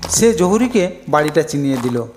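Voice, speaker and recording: High-pitched male fairy-tale storyteller. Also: sing-song, moderate pace, noisy background. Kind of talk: scripted fairy-tale narration. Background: music.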